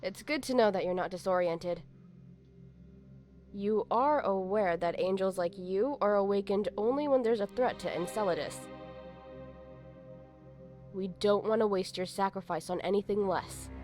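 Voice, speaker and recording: A woman's voice: mid-range voice, moderate pace, pauses often, a little mellow, soft background music, lightly acted. A woman playing a polite, composed android overseer in a visual novel game, speaking formally.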